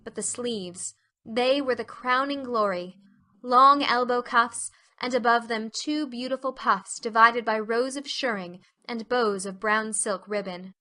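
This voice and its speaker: Woman narrating a storybook, scripted read, bright and a bit high pitched. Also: a little slow.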